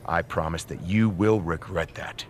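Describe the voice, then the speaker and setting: A man's voice: deep voice, moderate pace, a little hiss or hum, natural voice. A man playing a smug, scheming wrestling manager in a video game, condescending, needling and theatrical. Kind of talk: scripted video game cutscene acting.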